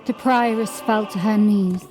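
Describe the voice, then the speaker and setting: A woman reading scripted horror fiction as narrator, in a dramatic, measured storytelling manner. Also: medium pitch, steady tone. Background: music.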